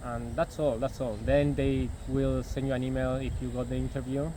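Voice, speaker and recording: A man's voice: mid-range voice, flat delivery, normal speed, background noise. A young man from Argentina whose first language is Spanish, speaking English with an Argentinian accent and answering interview questions hesitantly, often repeating words.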